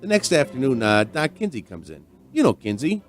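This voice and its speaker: A man's voice: mid-range voice, huge pitch swings, a little fast. A man playing a chatty veteran barman in a radio drama, telling an uneasy mystery in a folksy, rambling way. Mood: uneasy, curious. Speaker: narrator and lead character. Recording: music behind the voice.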